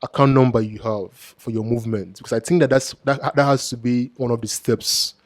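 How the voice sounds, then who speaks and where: A young man hosting an interview, speaking in a halting, grateful way with many fillers. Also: medium pitch, steady tone. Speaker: host. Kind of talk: conversational interview.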